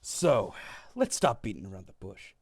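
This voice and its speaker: A man's voice: on the low side, big pitch swings, normal speed, darkish tone, husky, no echo, clean studio sound. An actor playing a brash, blunt, foul-mouthed male fighter in a fantasy audio drama.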